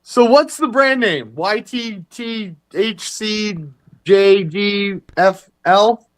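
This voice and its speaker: A man's voice: sits high, extremely slow. A man co-hosting a casual podcast chat, dropping dry, jokey asides. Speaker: podcast co-host.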